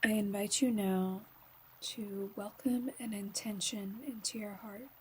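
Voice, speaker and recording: A woman guiding a slow meditation in a soft, breathy voice, often near a whisper, calm and soothing, with long pauses. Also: mid-range voice, slight background noise, rough recording. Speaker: yoga nidra guide.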